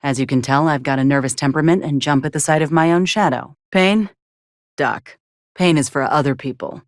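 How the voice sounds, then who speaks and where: A woman's voice: low voice, brisk, no room sound. A woman voicing a tough, dry-witted soldier companion in a video game, blunt and wry with folksy phrasing. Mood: deadpan.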